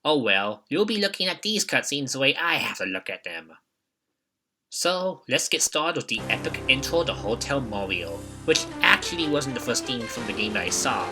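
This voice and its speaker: A man's voice: medium pitch, big pitch swings, normal speed, over music. A young man narrating a scripted, joking video retrospective with a wry, mock-dramatic manner. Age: young adult. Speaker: YouTube retrospective host.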